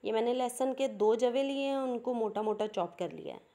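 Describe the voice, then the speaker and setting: A woman's voice: a little high, normal speed, dark tone. A woman with a Pakistani Urdu accent, hosting a cooking channel and patiently explaining each step of a home recipe.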